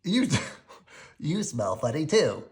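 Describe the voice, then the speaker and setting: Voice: mid-range voice, sing-song, slow pace, a little mellow. A storyteller voicing a friendly, easygoing young male skunk in a children's picture book. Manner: friendly, casual.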